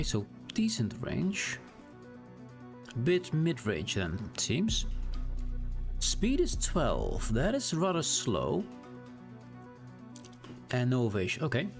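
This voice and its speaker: A Dutch man with a Dutch accent, whose first language is Dutch, streaming a game live, chatting casually and reading the game's text aloud as he plays. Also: medium pitch. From Netherlands. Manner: chatty, reads game text aloud, thinks out loud with restarts. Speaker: gaming streamer. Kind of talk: live gameplay commentary.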